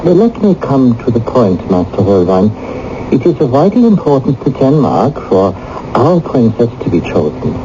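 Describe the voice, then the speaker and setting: On a dull old radio recording, a man plays a pompous noble duke. He speaks formally and smoothly, insinuating as he schemes. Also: medium pitch, big pitch swings, moderate pace, noisy background, close to an everyday voice. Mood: smoothly persuasive. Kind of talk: 1980s radio drama acting. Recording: dull vintage radio broadcast.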